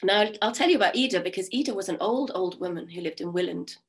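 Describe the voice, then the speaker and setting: A woman in her fifties with a croaky voice from a cold, telling folk stories in a chatty way on a video call from home. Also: mid-range voice, fast talker. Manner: warm, chatty, with many fillers. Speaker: storyteller. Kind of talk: oral storytelling. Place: home room. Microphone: video call webcam.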